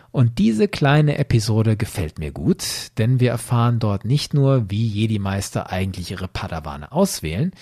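A man's voice: mid-range voice, warm tone, husky, no room sound, clean studio sound. A man speaking as a podcast host, giving scripted commentary on a story.

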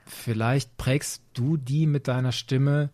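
A man's voice: mid-range voice, fairly even intonation, slow, darkish tone, dry recording. A man in his forties hosting a podcast, chatting casually and enthusiastically and laughing often. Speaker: podcast host. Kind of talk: casual interview chat.

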